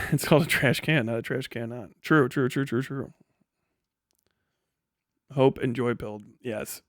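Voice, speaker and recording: Male streamer, mid-pitched, blunt and foul-mouthed, casual chat delivery, close dry mic. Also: unhurried, dry recording. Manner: blunt, heavily profane. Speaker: livestream host and commentator. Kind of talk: casual livestream chat answering viewer messages.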